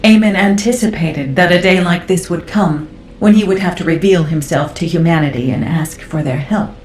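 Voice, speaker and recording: A woman playing a curious, analytical scientist in a video game, with deliberate, thoughtful delivery. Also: lowish voice, a little mellow, natural voice. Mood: measured explaining. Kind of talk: video game dialogue acting. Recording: roomy game audio, faint noise.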